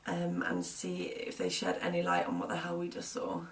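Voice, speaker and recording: A woman's voice: very deep voice, big pitch swings, moderate pace, heavily acted. A woman playing a shaken young woman in found-footage horror, hesitant and naturalistic. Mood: uneasy. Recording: noisy found-footage audio.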